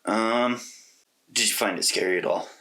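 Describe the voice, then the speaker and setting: A man's voice: fairly low pitch, normal speed, creaky, pushed and bright, slight background noise, rough recording. A man co-hosting a podcast, casually discussing a film and thinking aloud in a loose, rambling way. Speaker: podcast co-host.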